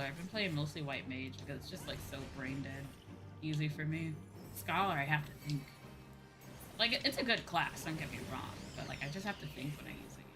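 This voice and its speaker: A woman streaming a game and chatting casually, with a sweary, playfully whiny and emphatic manner. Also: low-pitched. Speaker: streamer, VTuber host.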